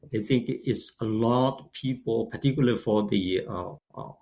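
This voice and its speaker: Gravelly older man, Chinese accent. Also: mid-range voice, mellow. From China. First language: Shanghainese. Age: 60s. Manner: halting, many restarts and fillers. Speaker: guest, company founder. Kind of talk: casual interview talk.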